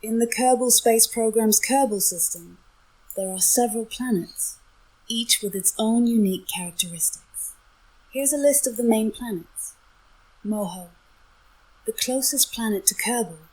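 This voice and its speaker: A synthetic woman's voice as an AI game companion, giving measured, explanatory narration. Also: a little high, darkish tone, breathy edge, rough recording. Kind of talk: informative scripted-sounding narration.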